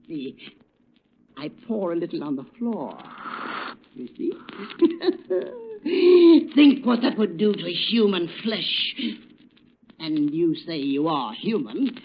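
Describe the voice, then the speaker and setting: High, cackling mad scientist villain. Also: male voice, big pitch swings, slow, long pauses, exaggerated voice. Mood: menacing, taunting. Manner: maniacal, taunting, swinging from hushed menace to shrill cries. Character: insane, gloating male villain, a scientist poisoner. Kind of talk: 1930s radio acting. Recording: dull, noisy old radio recording.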